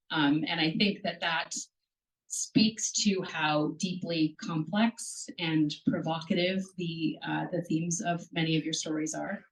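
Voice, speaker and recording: A woman moderating a live bookstore reading, loud and chatty, laughs easily, speaking into a handheld mic. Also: low-pitched, recorded from a distance, rough recording. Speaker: event moderator. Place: bookstore event room. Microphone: handheld microphone.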